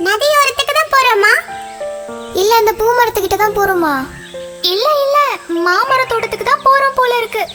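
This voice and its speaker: An actor voicing an excited, chattering little chick in a children's cartoon, squeaky and childlike. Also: fairly quick, music in the background. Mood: eager, joyful.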